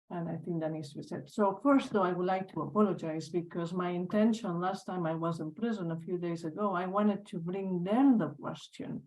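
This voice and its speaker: A woman on a panel talks in a soft, mellow voice that sits a little low. She is thoughtful and searching, often repeating a word while she finds the next one. The sound is a video call. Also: poor audio. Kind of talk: reflective panel talk.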